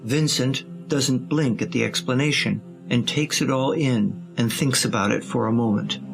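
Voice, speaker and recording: A man narrates an audio drama in a creaky, gravel-edged voice, reading a scripted story in the present tense. His pitch sits in the middle range, and music plays clearly behind him. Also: normal speed.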